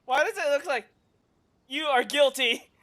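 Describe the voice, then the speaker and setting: A woman's voice: sits high, unhurried, fairly bright tone. A woman giving casual live commentary on a video game as a streamer, with wry, teasing asides.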